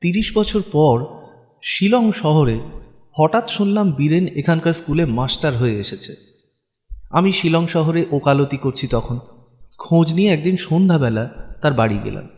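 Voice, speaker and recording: A man's voice: fairly high pitch, animated, normal speed, long pauses, darkish tone. A man narrating short stories from a script as a storyteller, in a dramatic style. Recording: long reverb, music behind.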